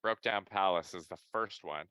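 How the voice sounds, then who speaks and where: A man's voice: deep voice, normal speed, pushed and bright, clear, resonant tone, no echo. A man co-hosting a podcast, sarcastic and profane in casual chat. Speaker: podcast co-host.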